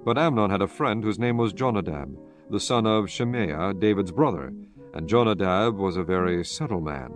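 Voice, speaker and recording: A man's voice: on the low side, lively intonation, moderate pace. A man narrating scripture as a scripted dramatized reading, in a formal, solemn storytelling manner. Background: background music.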